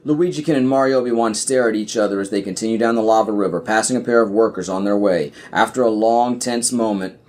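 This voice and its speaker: A man's voice: lowish voice, fast, hardly any pauses, darkish tone. A man reading scripted scene directions as the narrator of a podcast audio play.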